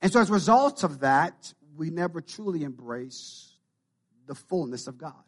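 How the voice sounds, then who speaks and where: A man's voice: a little high, lively intonation, moderate pace, fairly bright tone. A man with a Jamaican Canadian accent preaching a sermon to his congregation, often repeating and building his phrases. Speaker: pastor.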